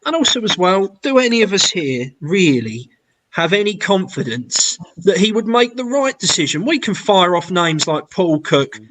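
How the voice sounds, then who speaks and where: Male fan co-host, raised pitch, halting and sweary delivery. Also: sing-song, a bit bright, a little hiss or hum, poor audio. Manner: sweary, halting, many restarts. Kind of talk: casual football chat.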